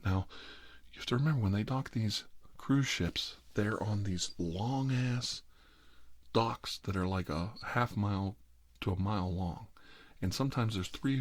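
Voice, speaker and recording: A middle-aged man telling a rambling, comic family anecdote in a casual, wry, exasperated manner with drawn-out emphasis. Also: on the low side, dry recording. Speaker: host telling a family story.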